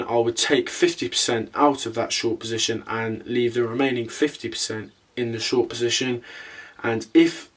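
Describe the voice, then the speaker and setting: A man presenting an explanatory market analysis commentary, talking through it step by step as the host. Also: mid-range voice. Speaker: host of a crypto trading video.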